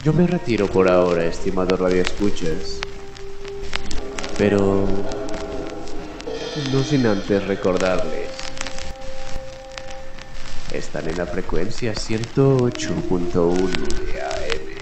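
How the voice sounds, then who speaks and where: Slow, low-voiced man narrating mystery radio. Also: animated, music in the background. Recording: roomy echo.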